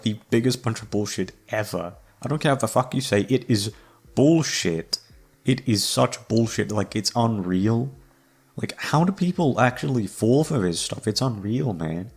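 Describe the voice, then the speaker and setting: Low-voiced young man, sweary rambling. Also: creaky. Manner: sweary, slangy, self-mocking. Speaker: YouTube voice actor and creator. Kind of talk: casual rambling chat to listeners. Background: background music.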